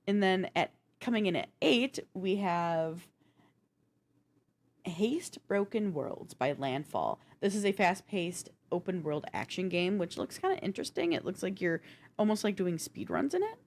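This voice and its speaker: A woman's voice: on the low side, expressive, measured pace, a little hiss or hum. A woman co-hosting a gaming news show, counting down a list in a casual, chatty, informal way.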